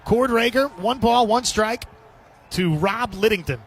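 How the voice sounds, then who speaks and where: A man's voice: high voice, expressive, a little slow, gravelly. A man calling a baseball game as a radio play-by-play announcer, describing the action in clipped phrases. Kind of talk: live radio sports commentary.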